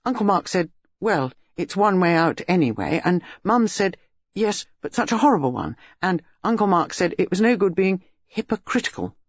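A man puts on a keen little schoolboy, pitched well up and bouncing all over the place, who trips over his words in his eagerness. The sound is dull and dry, like an old lo-fi recording. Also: very animated, moderate pace, dry recording, heavily acted. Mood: chatty. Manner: eager, stammering, breathless chatter. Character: excited talkative boy about nine.

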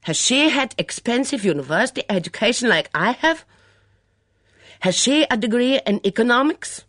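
Sullen foreign cook with an accent, melodramatic and self-pitying, quick and swinging in pitch, dry close sound , put on by the reader. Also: female voice, animated, no echo. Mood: resentful. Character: sullen foreign woman cook, dramatic, suspicious.

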